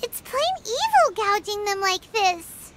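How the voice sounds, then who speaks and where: A woman voicing a tiny childlike fairy companion in a video game, squeaky, blunt, bossy and cheeky. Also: sing-song, slow, fairly bright tone, a little hiss or hum, full character voice. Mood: skeptical. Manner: blunt, exclamatory, teasing. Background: game background music.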